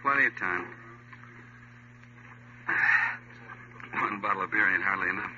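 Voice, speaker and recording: A man's voice: low voice, slow pace, pushed and bright, slight background noise, full character voice. An American man playing a laconic, slangy street informant, offhand and evasive, in old radio drama style. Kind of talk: 1950s radio drama acting, slangy dialogue. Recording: dull, humming old radio recording.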